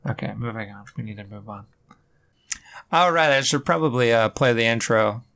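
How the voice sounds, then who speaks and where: A man's voice: medium pitch, normal speed, a bit bright. A man hosting a comic dub, breaking in with casual, dry, wry asides. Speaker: comic dub host and commentator.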